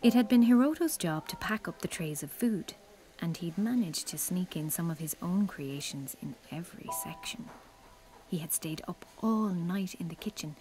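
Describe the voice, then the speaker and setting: Female narrator, fairly low pitch, scripted children's storytelling. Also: normal speed, dark tone, rough recording.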